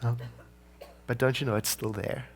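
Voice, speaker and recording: A man's voice: medium pitch, steady tone, normal speed. A man from South Africa with a South African accent, giving a sermon as minister in a conversational, storytelling way with frequent asides.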